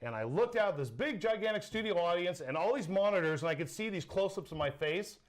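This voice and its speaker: Middle-aged American male, fairly high voice, animated casual storyteller in an interview. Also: fast. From Southern California, United States. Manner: animated storyteller, acts out quoted dialogue. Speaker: interview guest, sports announcer. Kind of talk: casual chat, personal storytelling.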